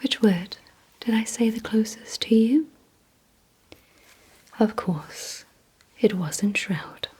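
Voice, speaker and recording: A woman doing an ASMR roleplay, mostly in a breathy whisper, giving gently commanding instructions in a deliberate manner. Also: a little high. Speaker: ASMR creator playing a vampire giving memory and attention tests.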